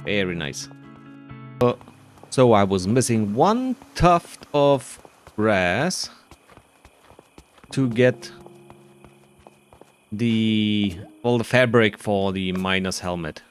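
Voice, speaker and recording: A man's voice: lowish voice, expressive, drawn-out words, pauses often, soft background music. A man giving casual commentary on his own video game playthrough, muttering to himself as he plays. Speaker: gamer narrating a playthrough.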